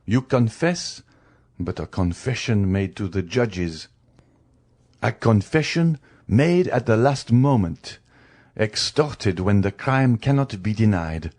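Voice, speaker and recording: Storybook villain-judge voiced by a man: stern husband passing sentence, deliberate, emphatic, widely varied pitch. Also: lively intonation, darkish tone. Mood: stern, accusing. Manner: cold, commanding, emphatic oratory. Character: stern, merciless male magistrate condemning his wife.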